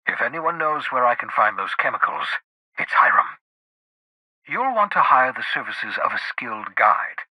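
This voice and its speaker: An actor voicing an eccentric, erudite older renegade scientist in a video game, jittery and sardonic, with theatrical, wordy, precise delivery. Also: male voice, mid-range voice, husky, no echo, lo-fi sound. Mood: wry, advising. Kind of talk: video game character dialogue.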